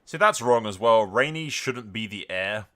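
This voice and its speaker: A man's voice: on the low side, expressive, normal speed, pushed and bright. A man giving casual, informal commentary on a roleplay game, thinking aloud and slipping into storytelling. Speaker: gaming video host. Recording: music behind the voice.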